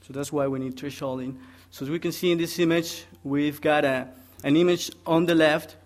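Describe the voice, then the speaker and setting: A man's voice: on the high side, steady tone, normal speed. A young man from Peru, a graduate student, giving a technical conference presentation with many hesitations, explaining step by step.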